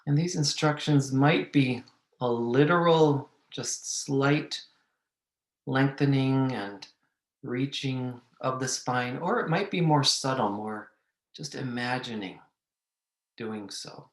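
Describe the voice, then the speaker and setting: A man leading a guided meditation, giving gentle, measured instructions with long pauses. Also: mid-range voice. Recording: echoey room.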